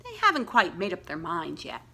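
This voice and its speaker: A woman reading a children's story aloud like a school librarian, with deliberate, expressive storytelling phrasing aimed at young listeners. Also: lowish voice, creaky, slight background noise. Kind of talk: expressive picture-book read-aloud with questions to young listeners.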